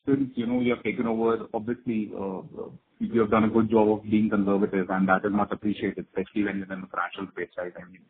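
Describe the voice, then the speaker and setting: A man phoning in as an investor, asking a polite, rambling question in an unscripted way. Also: fairly low pitch. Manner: rambling, polite, frequent "you know". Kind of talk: spontaneous conference call question. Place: phone call. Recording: noisy, narrow phone line.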